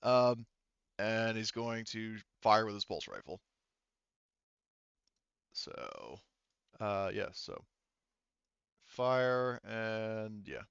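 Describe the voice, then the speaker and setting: A man with a fairly deep, clear voice runs a tabletop roleplaying game as game master. He thinks aloud and pauses often as he narrates. The headset recording is dry and slightly dull. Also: lively intonation, a bit bright, no room sound.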